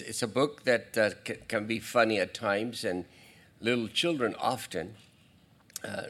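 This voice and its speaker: A male pastor preaching a sermon in a church, talking in a halting way with restarts and asides to the congregation. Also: lowish voice, fairly bright tone. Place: church sanctuary.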